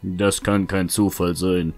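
A man playing a sober, commanding young hero in a fan-made fantasy audio drama, measured and matter-of-fact. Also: sits low, flat delivery, faint music behind. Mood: serious, directing.

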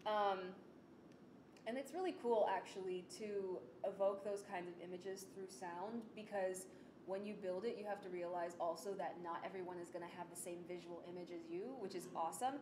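A woman's voice: fairly even intonation, normal speed. Young woman presenting in an echoey, noisy room. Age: young adult. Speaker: student presenter, audio drama writer. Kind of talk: informal presentation. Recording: echoey room with clear rumbling noise.

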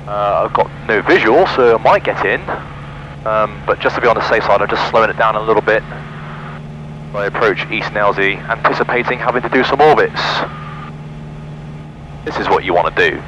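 A young man flying a small plane, calmly talking through his checklists over a headset mic. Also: fairly low pitch, moderate pace, dull audio, noisy background. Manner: calm, methodical checklist talk. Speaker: pilot. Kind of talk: flight vlog commentary. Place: small aircraft cockpit. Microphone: headset boom mic.

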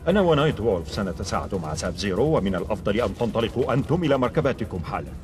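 Low-voiced commanding cartoon leader. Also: male voice, very animated, racing, over music. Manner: authoritative, formal. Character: commanding, level-headed male leader of heroes. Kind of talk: animated action series dub.

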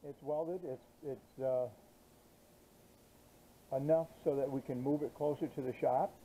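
A middle-aged man casually explaining a welding project outdoors, slow and halting with many "uh" pauses. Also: medium pitch, fairly even intonation, dark tone, low-quality recording. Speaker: DIY channel host. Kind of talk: casual how-to narration. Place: outdoors on a grassy yard.

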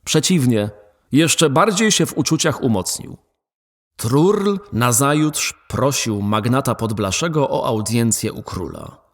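A man narrating a radio play in an expressive, animated storytelling way. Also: mid-range voice, unhurried. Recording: faint background music.

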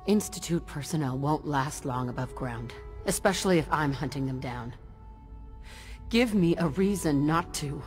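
Deep-voiced, ruthless avenger. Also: female voice, lively intonation, moderate pace, darkish tone, soft background music. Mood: cold, threatening. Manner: hard, threatening, controlled. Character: cold, ruthless female avenger, game heroine. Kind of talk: video game dialogue acting.

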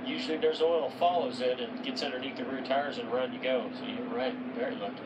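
A man giving relaxed, explanatory race analysis on a TV broadcast. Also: mid-range voice, fast talker. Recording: TV audio filmed off a screen, with loud noise.

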